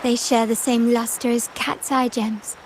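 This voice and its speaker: An actress voicing a poised, elegant young female agent in a video game, refined and measured with a coolly teasing manner. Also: on the high side, little pitch movement, a little mellow, slight background noise, lightly acted, poor audio. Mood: composed, inviting. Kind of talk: scripted video game character dialogue. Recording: music behind the voice.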